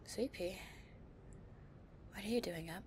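A woman's voice: mid-range voice, unhurried, a little mellow, a little hiss or hum, close to an everyday voice. A woman playing a tough but tender, anxious delinquent girlfriend in an intimate roleplay audio, murmuring breathily. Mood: apologetic, weary.

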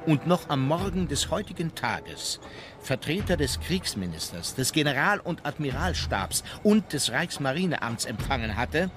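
An actor playing an observant naval courtier recalling events like diary entries, in a measured, matter-of-fact way. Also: male voice, a little high, very animated, a bit bright, a little hiss or hum. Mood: sober. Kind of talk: diary-like recollection in a docudrama.

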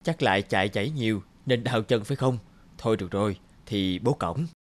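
A man playing a humble, middle-aged country father in a cartoon, speaking gently and haltingly. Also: lowish voice, slight background noise, lightly acted. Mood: fond, gentle. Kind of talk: cartoon dialogue acting.

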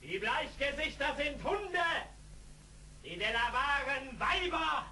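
An actor playing a scornful warrior villain in a radio play, calling out slow taunts. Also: male voice, extremely high pitch, expressive, bright, forceful tone, full character voice. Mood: scornful, taunting. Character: scornful male warrior villain shouting from afar. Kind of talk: 1970s German radio play acting. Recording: distant, echoing, noisy radio play recording.

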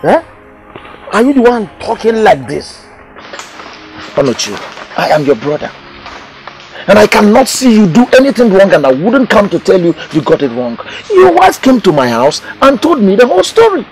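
A man's voice: sits high, big pitch swings, moderate pace, faint music behind, low-quality recording. A man with a Nigerian Igbo accent playing a reasonable middle-aged village kinsman who admonishes insistently in a film drama. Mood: reproachful. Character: reasonable, admonishing village elder brother figure. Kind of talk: Nollywood film drama acting.

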